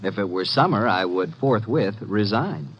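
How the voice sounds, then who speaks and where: An American actor playing a brisk, wisecracking detective's assistant in a 1950s radio mystery. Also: male voice, lowish voice, lively intonation, breathy edge. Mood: wry, breezy. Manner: wry, breezy. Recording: muffled, hissy vintage radio recording.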